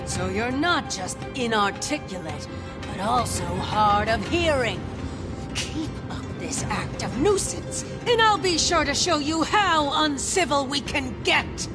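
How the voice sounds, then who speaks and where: A grandiose female herald of a warlike city in a video game. Her high voice declaims slowly and theatrically, with haughty mockery, over clearly audible music. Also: expressive. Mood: scornful.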